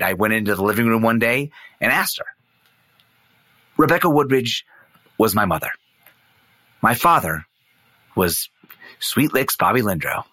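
A middle-aged man playing an earnest son narrating his quest as an intense, deliberate dramatic storyteller. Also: medium pitch, sharp tone, no echo.

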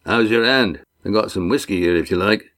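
A male narrator voicing a blunt, loyal innkeeper turned sailor, speaking in a folksy dialect with dropped grammar, pitched above his own voice. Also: moderate pace, a bit bright, lightly acted. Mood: gruff.

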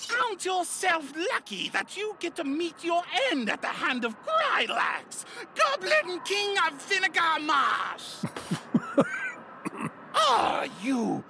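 A woman's voice: very high-pitched, huge pitch swings, takes it slowly, gravelly, background noise, heavily acted. A woman voicing a tiny, crazed, boastful male goblin king in a comedic fantasy audio drama, bombastic and threatening. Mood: indignant, threatening.

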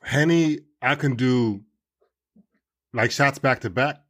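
A man from Germany with a middle-pitched voice joins the podcast chat, teasing his friends and bragging. His talk is relaxed and unhurried. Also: no echo, clean studio sound. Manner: teasing, boastful. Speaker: podcast co-host. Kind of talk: casual chat.

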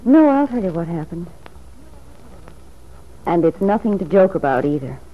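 A woman's voice: fairly low pitch, big pitch swings, normal speed. An actress playing a troubled, wavering wife who plots with her lover in a radio drama, earnest and hesitant. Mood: worried. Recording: tinny, noisy old radio recording.

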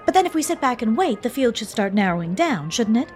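High, animated young widow. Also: female voice, fast talker, soft background music. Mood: suspicious, worried. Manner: expressive, chatty, theatrical. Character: chic, anxious, talkative young widow in comic mystery. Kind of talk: radio drama acting.

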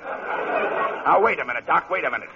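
A man's voice: a little high, animated, normal speed, gravelly, crowd noise, noisy background. A man acting in an old-time radio comedy as a stubborn, bossy police officer who goes by the book. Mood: officious. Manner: bossy, insistent, emphatic. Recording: dull vintage radio broadcast.

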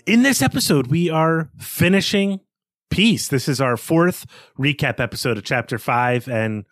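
Lively musing man co-hosting a podcast. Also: fairly high pitch, measured pace, dry recording, clean studio sound. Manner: musing, animated, trails off into quiet asides. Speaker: podcast co-host. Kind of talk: casual book discussion.

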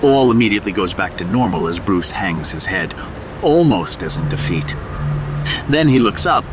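A man's voice: sits low, expressive, moderate pace, noisy background. A man reading scripted dramatic narration as the narrator of an audio drama. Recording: music behind the voice.

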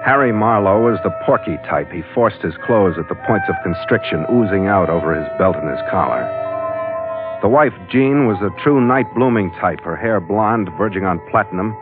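An actor playing a tough, wry sea captain, talking tersely in the hard-boiled manner of 1940s radio drama. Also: male voice, fairly low pitch, lively intonation, moderate pace, close to an everyday voice. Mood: wry narrating. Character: tough, wry American sea captain narrating his adventures. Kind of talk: 1940s radio drama acting and first-person narration. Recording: muffled vintage radio recording, music behind.

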